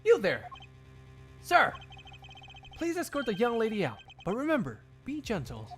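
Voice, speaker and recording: A man's voice: extremely high pitch, huge pitch swings, unhurried, fairly bright tone, soft background music, voice pushed up, exaggerated voice. A man voicing a smug, taunting male rival prosecutor with a theatrical, mocking delivery in a game fandub. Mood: gloating.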